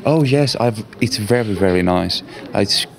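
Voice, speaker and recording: A man's voice: on the low side, a little slow, vocal fry, noisy background. A young man from Finland whose first language is Swedish, answering interview questions in English earnestly, repeating words for emphasis. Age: young adult. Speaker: exchange student. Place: school classroom.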